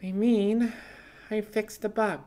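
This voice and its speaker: Guy doing a young hacker girl for an audiobook, high voice, defiant and earnest, bit wry. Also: little pitch movement, slow, mellow. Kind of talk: audiobook character dialogue.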